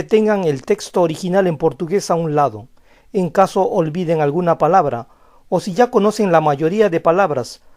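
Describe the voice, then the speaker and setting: A young man giving a scripted language lesson as a voice-over, calm and explanatory, reading example phrases slowly. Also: on the high side. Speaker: language teacher.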